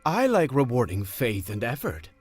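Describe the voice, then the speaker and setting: Man acting as sly male god of deception, animated intonation, slow persuasive delivery, fantasy audio drama, music underneath. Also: medium pitch, breathy edge.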